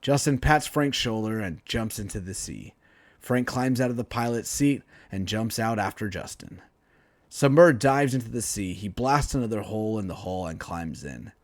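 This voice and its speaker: A man reading a superhero story aloud in a dramatic, emphatic storytelling style with deliberate phrasing. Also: lowish voice, darkish tone, no room sound. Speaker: author reading own story, writing teacher.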